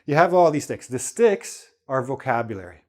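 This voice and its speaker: Male English teacher, mid pitch, loose conversational delivery with frequent check-ins. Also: normal speed. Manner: relaxed, chatty, repeats "okay?" to check in. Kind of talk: spoken English lesson.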